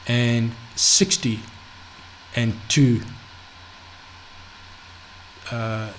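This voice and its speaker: Halting man explaining scripture. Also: medium pitch, fairly even intonation, fairly bright tone, slight background noise, rough recording. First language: not Hebrew. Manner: halting, many restarts and fillers, checks in with "okay?". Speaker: Bible teacher. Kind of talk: unscripted screen-share Bible study.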